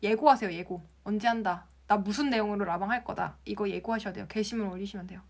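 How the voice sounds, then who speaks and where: A woman's voice: mid-range voice, quick pace, gravelly. A young woman from the Gyeongsang region teaching Instagram, answering viewer comments on a casual live stream and laughing often. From Gyeongsang province, Korea.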